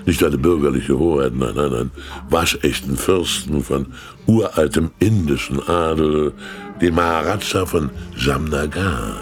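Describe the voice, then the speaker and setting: An actor playing a very fat older state councillor in a radio play, jovial, sly and self-mocking, with a theatrical delivery. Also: male voice, a bass voice, unhurried, soft background music. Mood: sly, confiding.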